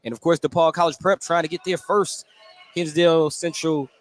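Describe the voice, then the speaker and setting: A man does live radio play-by-play in a brisk announcer's style. His voice sits high for a man. Music is clearly audible behind him. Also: fairly even intonation. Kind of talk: live sports radio commentary.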